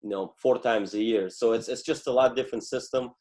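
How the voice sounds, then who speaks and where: A man from Bulgaria, a native Bulgarian speaker in his thirties, talking as a podcast guest with frequent pauses and fillers. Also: medium pitch, steady tone, a little mellow. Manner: hesitant, many fillers and restarts. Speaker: guest, former Olympic wrestler. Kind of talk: podcast interview.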